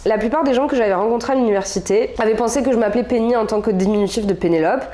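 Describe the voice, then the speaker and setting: A woman's voice: a little high, very fast, warm tone. Young woman, casual co-host; reads a story aloud, loose and chatty. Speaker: podcast co-host reading stories. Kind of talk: casual chat and reading aloud.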